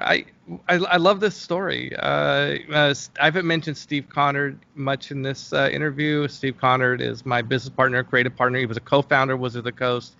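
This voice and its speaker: Creaky-voiced middle-aged man, hesitant and rambling. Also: medium pitch, bright, forceful tone, muffled recording. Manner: hesitant, rambling, thinking aloud. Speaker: interview guest, game company founder. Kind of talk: casual interview conversation.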